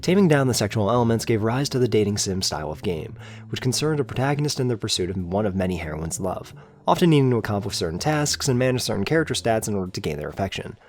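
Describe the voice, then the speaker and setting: A man's voice: on the low side, very animated, fast talker, faint music behind. A man reading a scripted, analytical video essay narration in a formal, articulate way with emphatic openings to his phrases.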